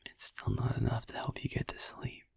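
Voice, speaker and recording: A man playing a soothing vampire boyfriend in an ASMR sleep-aid roleplay, musing gently in a hushed, breathy whisper close to the microphone. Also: dry recording, close to an everyday voice. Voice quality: breathy whisper with gravelly creak. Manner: slow, hushed, gently musing with long pauses. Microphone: close microphone, dry.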